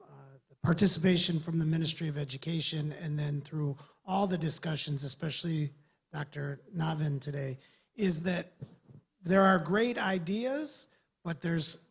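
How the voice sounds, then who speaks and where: A man's voice: a little high, husky. A man from the USA, a government development official, giving earnest, loosely structured remarks on a panel. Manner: earnest, rambling with restarts.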